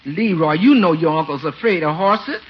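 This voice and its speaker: An actress playing a sassy, knowing housekeeper with a folksy, wry manner in a 1950s radio comedy. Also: female voice, low-pitched, expressive, normal speed. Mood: wry, scolding. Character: sassy, knowing woman housekeeper who teases and scolds. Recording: dull 1950s radio recording.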